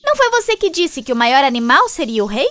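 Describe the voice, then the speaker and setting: Woman as a high-pitched, clever cartoon frog. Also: sing-song, quick pace, over music. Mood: sly confidence. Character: clever female frog scheming to teach a lesson. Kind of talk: children's cartoon character acting.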